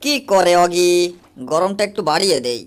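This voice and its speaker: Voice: medium pitch, animated, moderate pace, lightly acted. A performer voicing a gleeful, mischievous cockroach in a comic cartoon dub, taunting and gloating over music. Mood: scheming. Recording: music under the voice.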